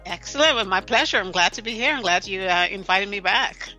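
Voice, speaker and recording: A woman's voice: mid-range voice, pushed and bright, soft background music, low-quality recording. A woman from Guyana, a podcast guest, talking earnestly and often restarting her sentences. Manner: earnest, halting with many restarts. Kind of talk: conversational interview.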